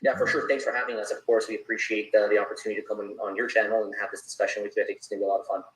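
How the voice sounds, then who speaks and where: A man's voice: on the low side, little pitch movement, rapid-fire, echoey room, low-quality recording. A man with a Canadian accent chatting casually as a guest YouTuber on an interview show. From Toronto area, Canada.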